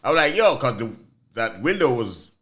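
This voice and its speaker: An easygoing man with a lively, bright voice. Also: mid-range voice, normal speed. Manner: easygoing, laughs often, trails off. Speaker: guest, former mail carrier. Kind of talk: casual chat.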